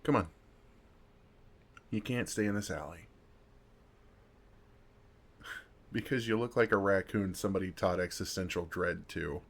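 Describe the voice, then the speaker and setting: Man playing a low, creaky, kind vampire. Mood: wry, protective. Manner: gentle, dry self-deprecating humor, unhurried pauses. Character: kind, wry older male vampire comforting a fledgling. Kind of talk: intimate ASMR roleplay.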